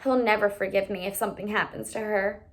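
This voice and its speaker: A woman's voice: medium pitch, fairly even intonation, brisk, close to an everyday voice. A woman voicing a distressed, argumentative young married woman in a storybook, tragic and exasperated.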